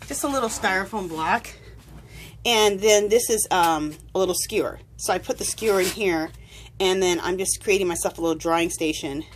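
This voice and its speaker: A woman's voice: fairly low pitch, moderate pace, a little hiss or hum. A woman talking casually through a paper craft tutorial at her table, rambling and correcting herself, sniffling from a cold. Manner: chatty, rambling, self-correcting. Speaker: crafting vlogger. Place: home craft table, indoors.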